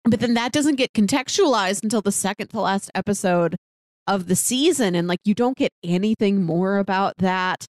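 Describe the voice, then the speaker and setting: A woman's voice: medium pitch, normal speed, no room sound, clean studio sound. A woman co-hosting a podcast in casual, conversational discussion. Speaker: podcast co-host reviewing a TV series.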